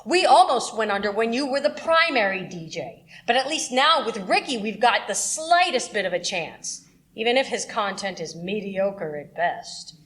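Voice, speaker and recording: A woman playing a bitter, overworked radio station manager in a radio play, domineering and sharp-tongued. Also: mid-range voice, animated, moderate pace, close to an everyday voice. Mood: scornful, self-righteous.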